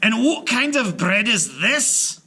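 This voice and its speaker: A man voicing a boastful, bullying male giant in a folktale read aloud, exclaiming loudly. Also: very high-pitched, big pitch swings, slow pace, slightly rough, pitched above the performer's own voice, exaggerated voice. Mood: outraged. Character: blustering, bullying male Irish giant, loud glutton.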